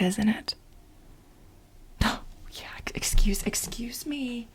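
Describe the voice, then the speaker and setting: A woman playing an obsessive, exasperated administrative assistant in a soft-spoken, whispery ASMR role play, insistent and repeating herself as she pleads with her boss. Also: mid-range voice. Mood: possessive, indignant. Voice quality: breathy, whispery. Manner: insistent, repetitive, halting for emphasis.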